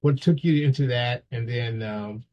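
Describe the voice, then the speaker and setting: A man's voice: medium pitch, moderate pace. A man hosting an interview, asking questions in a loose, repetitive way. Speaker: interview host. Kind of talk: conversational interview. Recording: dull lo-fi audio.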